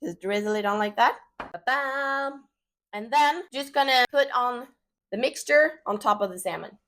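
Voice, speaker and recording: A woman with a Swedish accent, whose first language is Swedish, hosts a casual cooking demonstration in her home kitchen. Her voice sits a little high, and she chats along easily, with plenty of fillers. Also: unhurried. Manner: relaxed, chatty, full of fillers. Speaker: cooking show host.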